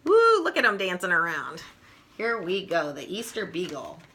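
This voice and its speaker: A woman reading a children's story aloud in an expressive, playful way. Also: on the low side, normal speed, husky. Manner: expressive, playful, chuckling asides. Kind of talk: animated read-aloud storytelling.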